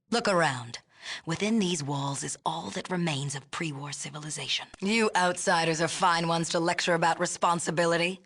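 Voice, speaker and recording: A woman's voice: fairly low pitch, a bit bright. A woman playing a haughty, imperious leader of a city in a video game, speaking formally and precisely with a condescending manner. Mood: angry, scornful. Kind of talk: video game character dialogue.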